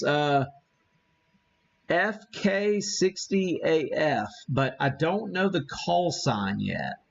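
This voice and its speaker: A man hosting a live hobby stream, giving a casual demo and thinking aloud in a halting way. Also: mid-range voice, fairly bright tone, muffled recording. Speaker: ham radio live stream host.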